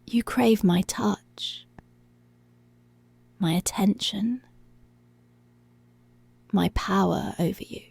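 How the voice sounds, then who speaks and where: A woman playing a dominant, seductive policewoman in an intimate ASMR roleplay, breathy and deliberate, often dropping to a whisper. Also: medium pitch, darkish tone, dry recording, full character voice. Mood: seductive, possessive. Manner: slow, deliberate, commanding.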